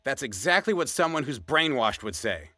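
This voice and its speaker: A man's voice: mid-range voice, sing-song, normal speed, sharp tone, no room sound, studio quality. A man playing a wry, opinionated podcast co-host in casual scripted banter. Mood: insistent.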